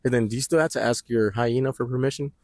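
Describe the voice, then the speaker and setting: Loud slangy young man. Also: medium pitch, steady tone, fast talker, dry recording, clean studio sound. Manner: loud, slangy, laughs often. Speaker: podcast co-host. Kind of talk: casual chat. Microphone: portable mic.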